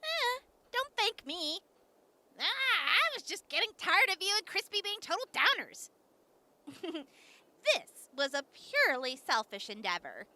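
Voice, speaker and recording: A woman's voice: very high-pitched, sing-song, measured pace, sharp tone, no echo, full character voice. A woman playing a brash, teasing wasteland companion in an audio drama, blunt and sarcastic. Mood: teasing, flustered. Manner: blunt, sarcastic, sighs and scoffs.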